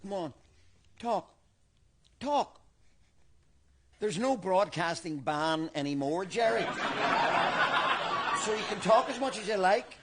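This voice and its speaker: An actor with a Northern Irish accent, from Belfast, playing a paranoid, bigoted older grandfather who talks in a deadpan, matter-of-fact way. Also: high voice, takes it slowly, long pauses, heavy background noise, full character voice. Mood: wheedling, menacing. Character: paranoid bigoted old grandfather, defensive and unhinged. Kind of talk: comedy sketch acting.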